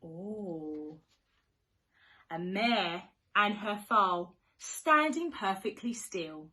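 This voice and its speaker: A woman teacher reading a picture book to young children in an expressive sing-song way, with questions, gasps and hushed asides. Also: mid-range voice. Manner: animated, questioning, dramatic gasps and hushed near-whispers.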